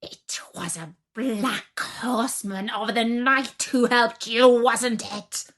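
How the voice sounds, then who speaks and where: Woman doing a mean old witch from a fairy tale, slow threatening drawl that swoops up high. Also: steady tone, fairly bright tone. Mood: fierce. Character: menacing old female witch, cruel and fierce.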